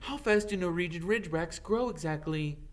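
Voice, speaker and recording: Man voicing an anxious bossy schoolgirl, pitched up. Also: normal speed, close to an everyday voice. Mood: concerned.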